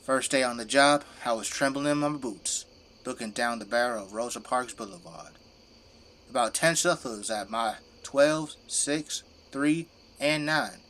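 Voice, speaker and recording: A man's voice: mid-range voice, moderate pace, a bit bright, natural voice. An actor playing a middle-aged, devoted father and plain-spoken government zombie fighter in an audio drama. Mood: earnest, reminiscing. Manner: commanding, plain-spoken, folksy turns of phrase. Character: gruff, devoted father working as a zombie-burning government janitor.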